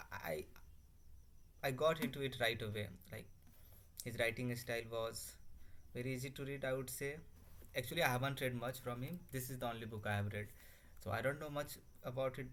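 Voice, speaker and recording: A man's voice: medium pitch, a bit bright. A young man from Bihar in India, a native Hindi or Bhojpuri speaker, talking unscripted to his laptop with many fillers and restarts. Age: young adult. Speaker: language learner vlogger. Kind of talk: unscripted casual talk to camera. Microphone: laptop microphone. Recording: faint background ambience on a laptop mic.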